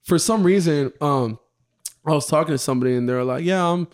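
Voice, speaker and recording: A man, chatting casually with friends, animated and laughing often. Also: on the high side, fairly quick. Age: 20s. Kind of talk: casual chat among friends.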